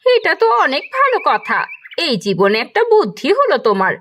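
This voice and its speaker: A woman voicing an excitable, grudging village wife in a cartoon, expressive and domineering. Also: high-pitched, brisk, slight background noise, heavily acted. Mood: pleased. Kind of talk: cartoon dialogue.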